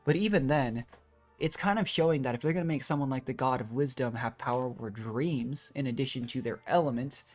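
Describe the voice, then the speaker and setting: Very low-voiced woman streamer thinking aloud. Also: a little fast, darkish tone, faint music behind. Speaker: game streamer. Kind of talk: casual chatty game commentary.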